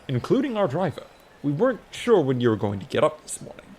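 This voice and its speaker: Man acting an anxious human assistant, lively pitch. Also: fast talker, breathy edge, heavily acted. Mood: hedging. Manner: hesitant, polite, fumbling. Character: anxious, deferential human male assistant to a god. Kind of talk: comic fantasy audiobook character.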